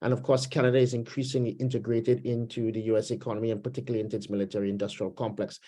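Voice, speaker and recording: Trinidadian-accented man with a mellow voice. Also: mid-range voice, flat delivery. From Trinidad and Tobago roots. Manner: measured, pauses with many fillers. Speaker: webinar panelist. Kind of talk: panel discussion, political commentary. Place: home, Canada.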